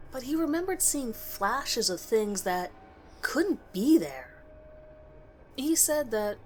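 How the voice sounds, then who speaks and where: A woman reading a scripted scary story as narrator, in a measured, dramatic way with pauses. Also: medium pitch, slight background noise.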